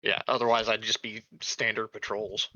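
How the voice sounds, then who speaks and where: A man's voice: lowish voice, little pitch movement, normal speed, sharp tone, no room sound, lo-fi sound. A man chatting casually as a player in a tabletop roleplaying game.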